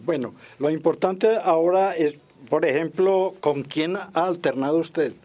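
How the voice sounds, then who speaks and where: A man hosting a radio interview with formal, deliberate phrasing. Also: on the high side, darkish tone, soft background music.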